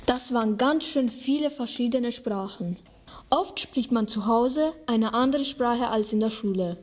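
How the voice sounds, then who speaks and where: A young teenage girl hosts a school radio programme. Her voice is fairly high and she delivers scripted presenting lines. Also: a little slow, slight background noise. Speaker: student radio host.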